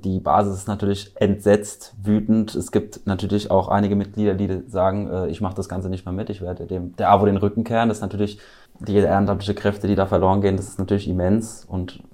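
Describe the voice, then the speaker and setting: Lower-voiced man hosting an interview podcast. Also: dark tone. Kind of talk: conversational interview.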